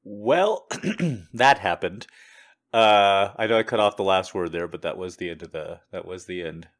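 Man, host, somewhat low voice, casual, earnest, answers listeners. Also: normal speed. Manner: laughing, earnest, apologetic asides.

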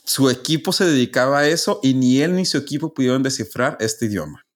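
Podcast host, man, mid-pitched, methodical explainer who restarts phrases. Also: animated, a little fast. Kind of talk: casual explanatory podcast chat.

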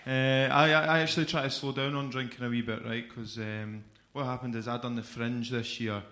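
Brief: Edinburgh Scottish male comic, mid-pitched, chatty, live set in an echoey club. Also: steady tone, moderate pace, sharp tone. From Scotland. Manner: chatty, keeps adding "right?". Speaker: stand-up comedian. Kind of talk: stand-up set. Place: comedy club stage.

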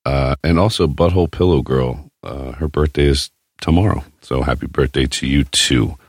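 A man's voice: rumbling low, normal speed, breathy edge, dry recording, studio quality. A man hosting a casual podcast chat, talking in a brash, crude, joking way. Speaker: podcast host.

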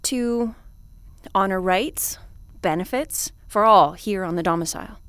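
A woman acts out a space station commander hosting a radio show in a sci-fi audio drama. She speaks in a measured, official manner with a weary edge. Her voice sits at a medium pitch and is recorded very dry. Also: no echo, close to an everyday voice. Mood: patient, formal. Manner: formal, measured, bureaucratic. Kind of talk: audio drama acting, broadcast host address.

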